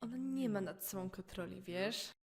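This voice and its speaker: A woman playing a mocking, sadistic demon child who possesses a girl, in an amateur comic dub, taunting with drawn-out words and outbursts. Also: mid-range voice, darkish tone, close to an everyday voice. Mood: scornful.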